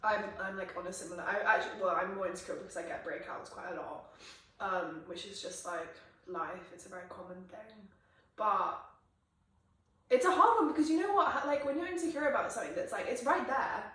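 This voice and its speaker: A young woman talks quickly through a casual podcast chat and drops swear words without effort. She sits far from the mic in a very echoey room, and her words run together fast. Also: mid-range voice, lively intonation, bright, forceful tone. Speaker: podcast co-host.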